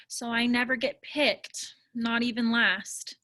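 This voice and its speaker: A woman's voice: on the high side, steady tone, slow pace, sharp tone. A woman voicing a lonely but eager young schoolboy in a children's storybook, with a childlike lift. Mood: downcast. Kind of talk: children's storybook read-aloud.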